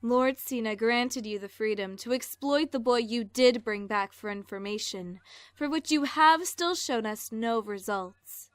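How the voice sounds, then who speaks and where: A woman's voice: on the high side, unhurried, clean tone, no room sound, close to an everyday voice. A woman playing a haughty, composed noblewoman in an audio drama, formal and politely condescending. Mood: coolly condescending. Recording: music under the voice.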